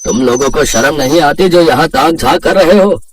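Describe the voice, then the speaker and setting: A man's voice: a little high, moderate pace, slight background noise, lightly acted. A man playing a worried, long-suffering middle-aged husband and father in a moral story, earnest and fretful. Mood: angry.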